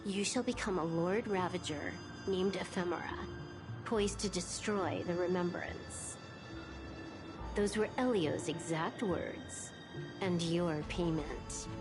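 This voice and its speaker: A composed, fateful game character speaks in a fairly low voice. She is measured and matter-of-fact, solemn as an oracle, over clearly audible music. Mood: cool, solemn. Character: composed, fateful woman, cool prophetic messenger. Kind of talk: video game voice acting.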